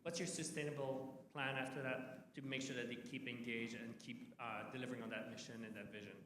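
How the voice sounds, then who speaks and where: Fast, bright man echoing from across a hall. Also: medium pitch, flat delivery, slight background noise. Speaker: audience member asking a question. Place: large conference hall. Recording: very echoey, distant hall audio.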